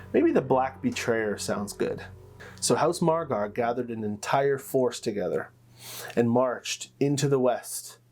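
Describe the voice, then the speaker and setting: Man narrating fantasy lore over soft music. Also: mid-range voice. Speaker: storyteller, game master and channel host. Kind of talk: dramatic fantasy lore narration with casual asides. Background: soft plucked-string music.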